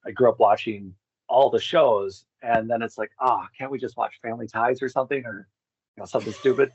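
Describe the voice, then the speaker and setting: A man's voice: medium pitch, steady tone, fairly quick, darkish tone, slightly rough, no echo. A man being interviewed, telling personal stories in a casual, rambling way and often breaking off to voice his own thoughts. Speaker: interview guest. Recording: dull lo-fi, dry sound.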